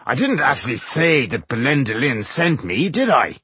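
A man voicing a brash, boozy dwarf mason, a cheeky trickster with a rough, boastful way of talking, in a fantasy audiobook. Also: medium pitch, very animated, normal speed, sharp tone, no room sound, full character voice. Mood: feigned innocence. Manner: boastful, breezy, impudent.

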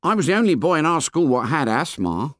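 An eager, anxious fat schoolboy with asthma, as a male reader puts him on, chattering in a high, lively voice full of slips in grammar. The reading is dry and close, with no room sound. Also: a little fast, no echo. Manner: eager, working-class boy's grammar.

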